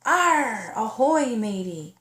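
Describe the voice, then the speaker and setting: A woman's voice: fairly high pitch, sing-song, takes it slowly, fairly bright tone, heavily acted. A woman voicing an eager, determined little girl bunny for a children's storybook, calling out and exclaiming. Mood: bold pirate talk. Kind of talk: children's storybook read-aloud.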